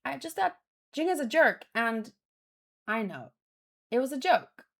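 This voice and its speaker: A woman with a fairly high-pitched voice reads a story aloud. She voices the dialogue informally and expressively, slipping into offhand commentary as a read-along host would. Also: very animated, a little slow, gravelly. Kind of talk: reading dialogue aloud with casual reaction commentary.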